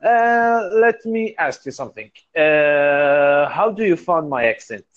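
A man in his twenties from Iraq, a native Arabic speaker with an Arabic accent, chatting haltingly in English practice and searching for words. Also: a little high, animated, muffled recording. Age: 20s. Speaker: language learner. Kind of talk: casual conversation practice.

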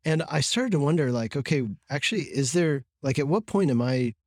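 A man's voice: a little high, animated, brisk, a little mellow, no echo, clean studio sound. Reflective man telling a story. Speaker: former missionary pastor. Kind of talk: podcast conversation.

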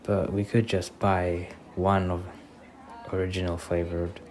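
A man playing a gentle, hesitant young boyfriend in a soft-spoken ASMR roleplay, reassuring his date. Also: low voice, flat delivery, a little slow. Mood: relaxed, cheerful. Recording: crowd ambience and noise behind voice.

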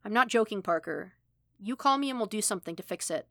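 A woman's voice: medium pitch, expressive, quick pace, dry recording, studio quality, lightly acted. A woman voicing a casual, blunt but caring older male mentor in a story, talking steadily and reassuringly. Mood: earnest, protective.

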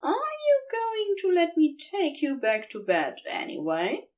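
A woman voicing a playful, affectionate man who coaxes and flatters in fond, teasing tones. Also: extremely high pitch, unhurried, recorded from a distance, dull audio, pitched above the performer's own voice, exaggerated voice.

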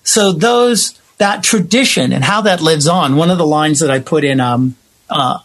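A man's voice: fairly high pitch, moderate pace, fairly bright tone. A man being interviewed as a theater writer and director, talking conversationally and expressively, acting out imagined quotes as he tells stories.

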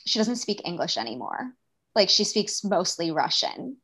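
A woman talks candidly as an interview guest. She is earnest and animated, and her voice moves freely up and down as she acts out little exchanges. Her pitch sits in a middle range. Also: moderate pace, gravelly, slightly rough. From New York. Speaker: guest, daughter and caregiver.

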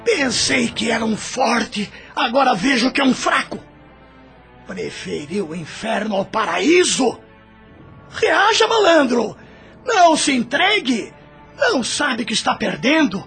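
A man's voice: extremely high pitch, big pitch swings, measured pace, creaky, a bit bright, slightly rough, soft background music, exaggerated voice. A man playing a sinister, mocking tempter spirit in a radio drama, with a taunting, cackling delivery.

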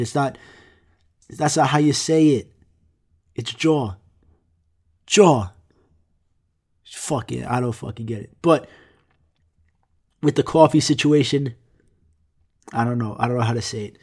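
A young man hosting his own podcast while sick, rambling casually with lots of swearing and deadpan jokes. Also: mid-range voice. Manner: profane, rambling, deadpan jokey. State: sick, congested. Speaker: podcast host.

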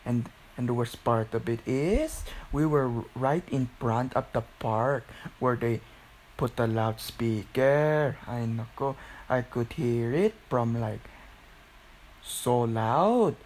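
Slow-talking teen with a Filipino accent. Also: medium pitch, darkish tone, a little hiss or hum, rough recording. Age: teens, about 16. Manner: repetitive, exclaiming, Filipino interjections. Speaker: video creator.

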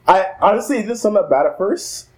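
A man's voice: high-pitched, lively intonation, fairly quick, poor audio. A young man co-hosting a basketball podcast, talking casually and bluntly, swearing and laughing easily. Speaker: podcast co-host. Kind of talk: casual sports chat.

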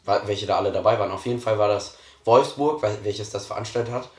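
A hoarse teenage boy co-hosting a podcast, chatting casually and joking with many restarts. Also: fairly low pitch, fast, a little mellow. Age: teens. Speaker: podcast co-host. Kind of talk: casual chat. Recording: roomy echo.

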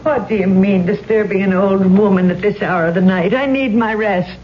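A woman's voice: mid-range voice, moderate pace, natural voice. Elderly female grouch, played by an actress, indignant and defensive; muffled, noisy seventies radio drama. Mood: irritated. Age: older. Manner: querulous, indignant. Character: cranky, defensive old woman, a neighbour. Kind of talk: 1970s radio drama acting.

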